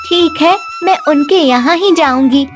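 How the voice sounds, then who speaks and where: Cartoon innocent little girl played by a woman, high, trusting and childlike. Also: measured pace, over music. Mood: trusting, unsure. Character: sweet innocent little girl, clay doll come alive. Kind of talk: cartoon story dialogue.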